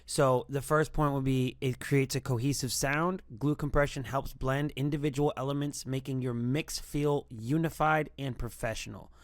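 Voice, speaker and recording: Male tutorial host, informal and loosely rambling, medium pitch, very dry sound. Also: steady tone, normal speed, hardly any pauses, no room sound. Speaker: music production tutorial host. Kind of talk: casual tutorial talk.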